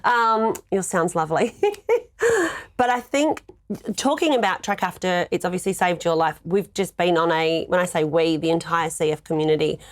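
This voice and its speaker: An Australian woman hosts a relaxed interview, her voice husky and a little low. She talks in a chatty, easy way, laughing often and finishing her guest's thoughts. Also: moderate pace, no echo. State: husky voice today. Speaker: podcast host. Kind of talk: casual interview chat.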